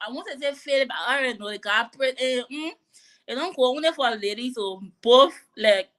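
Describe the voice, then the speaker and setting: A woman's voice: sits high, a little fast, fairly bright tone. A woman hosting a live online panel show, chatty and informal, often laughing as she brings in her guests. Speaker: host.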